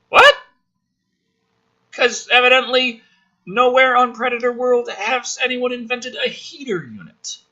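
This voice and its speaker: A man's voice: sits high, pushed and bright, lo-fi sound. A man reading a script aloud with theatrical emphasis and incredulous, profane, exasperated commentary, as the host of a review show.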